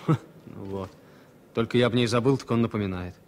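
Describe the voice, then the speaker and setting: An actor playing an anxious, know-it-all former military pilot, an insistent grumbler, in a radio play. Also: male voice, lowish voice, fairly quick, a little mellow, natural voice. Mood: worried, insistent. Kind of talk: 1970s Soviet radio play acting. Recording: slightly noisy vintage radio-play recording.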